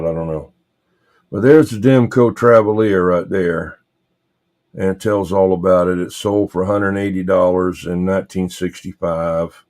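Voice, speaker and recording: A man's voice: low voice, darkish tone. A man hosting a casual, rambling livestream, muttering to himself in a folksy way as he talks. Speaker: livestream host showing vintage radios.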